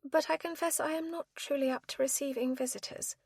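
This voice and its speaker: Woman doing a soft apologetic young widow, high gentle voice. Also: fairly even intonation, fairly quick, dry recording, studio quality.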